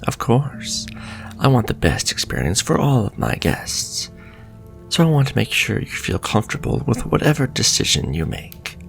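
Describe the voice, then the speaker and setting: Guy doing a sinister flirty warlock for fantasy asmr, super creaky soft voice that swoops up and down, music behind. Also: medium pitch, very animated, normal speed. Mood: flirtatious, coaxing. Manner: soft, breathy, courteous, seductive, drifting into whispers. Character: suave, flirtatious, sinister male warlock potion seller.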